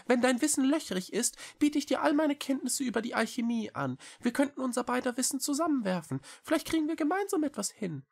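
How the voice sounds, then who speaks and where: A woman's voice: sits high, animated, a little fast, creaky, few pauses, darkish tone, voice pushed up, full character voice. A woman voicing a small, earnest, polite male cat-like creature in an audiobook, with an eager, pleading manner. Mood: eager, persuasive. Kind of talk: audiobook character voice.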